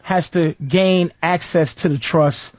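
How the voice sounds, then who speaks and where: A man hosting a comedic radio talk show, telling stories in an animated way with a raspy voice and acted-out quotes. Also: a little high, takes it slowly, dry recording. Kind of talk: comedic radio banter with guests. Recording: dull lo-fi radio recording.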